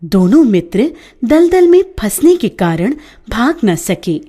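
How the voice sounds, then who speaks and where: A woman narrating a children's story in a scripted storybook style, with an expressive, lilting delivery. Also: a little high, slow.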